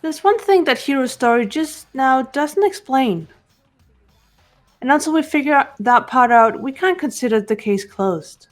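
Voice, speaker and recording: A woman voicing a calm young investigator who reasons through a case in a measured way. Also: sits high, faint music behind. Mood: cool. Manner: measured, logical.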